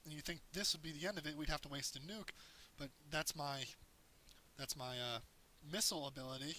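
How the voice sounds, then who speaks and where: A man with a hoarse voice, casually talking through a strategy game as he plays it, explaining tips in a loose, rambling way. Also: mid-range voice, pushed and bright, a little hiss or hum, low-quality recording. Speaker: gameplay commentator. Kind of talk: casual game tutorial commentary.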